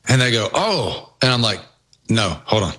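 A man's voice: fairly low pitch, normal speed. A man with a Southwest Virginia accent, hosting a casual sports talk show and telling folksy stories. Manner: storytelling, folksy and animated. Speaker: host.

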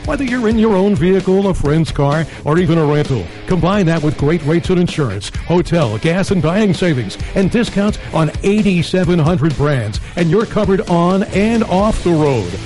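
A man's voice: high voice, animated, normal speed, few pauses, a little mellow. Male announcer over music. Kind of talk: scripted ad and promo read.

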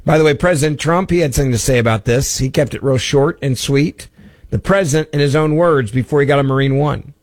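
A man's voice: medium pitch, quick pace, no echo. A man hosting a talk radio show, talking in a sarcastic, conversational way. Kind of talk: opinionated talk radio monologue. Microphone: studio mic.

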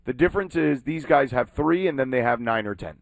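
A man's voice: mid-range voice, a little fast, gravelly, dry recording. Emphatic sports radio man. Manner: animated, emphatic, salesy in the ad. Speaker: sports talk radio host. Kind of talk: sports talk and live read ad.

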